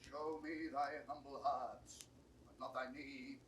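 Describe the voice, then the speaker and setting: An actor playing an indignant old English duke, scolding and declaiming with a British accent in a Shakespearean audio drama. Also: male voice, medium pitch, steady tone, slow, lightly acted. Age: older. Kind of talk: classical Shakespearean stage acting. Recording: roomy, distant, hissy 1960s recording.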